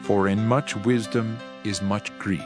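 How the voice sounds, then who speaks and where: An actor playing a world-weary preacher king in a dramatized scripture reading, solemn, measured and oratorical. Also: on the low side, fairly even intonation, close to an everyday voice. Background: background music.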